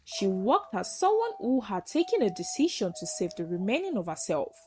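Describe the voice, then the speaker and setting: A woman narrating a folktale as a storyteller, with earnest, expressive and dramatic delivery. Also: medium pitch, normal speed.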